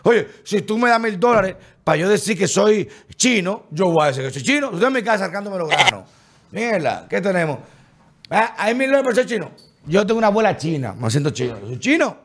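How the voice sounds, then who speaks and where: Animated man with a lively voice. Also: sits high, brisk. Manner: animated, mocking, acts out hypothetical people. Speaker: podcast co-host. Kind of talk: heated casual political chat.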